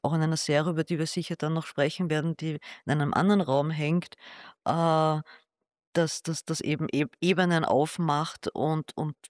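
An artist talks through his pictures slowly, circling back and restarting as he looks for words. His voice sits a bit high for a man, and the sound is dry and close, with no room around it. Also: steady tone, dry recording. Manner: thoughtful, hesitant, with restarts. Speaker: artist showing his exhibition. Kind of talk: gallery interview.

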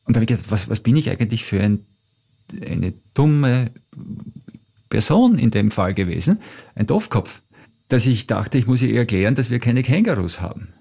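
A middle-aged man with an Austrian German accent, a travel author telling anecdotes in a reflective, measured way as an interview guest. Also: medium pitch, very animated, vocal fry, dark tone, breathy edge. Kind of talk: interview answers, storytelling.

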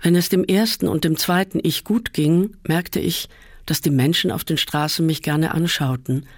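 A man reading a first-person literary essay aloud in a measured, calm way, often slowing down. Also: a little high, no room sound, clean studio sound. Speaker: reader.

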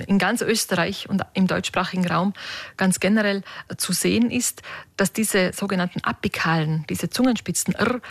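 Tyrolean woman, dialect expert, gravelly, hesitant but thoughtful, dry studio sound. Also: medium pitch, dry recording. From Paznaun valley, Tyrol, Austria. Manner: thoughtful, explanatory, frequent hesitations. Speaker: dialect researcher. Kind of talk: radio interview.

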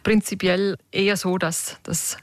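A woman with a Tyrolean accent, a dialect researcher explaining in a radio interview in a thoughtful way, pausing often with fillers. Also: mid-range voice, fairly even intonation, dry recording. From Paznaun valley, Tyrol, Austria. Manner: thoughtful, frequent hesitations with "äh".

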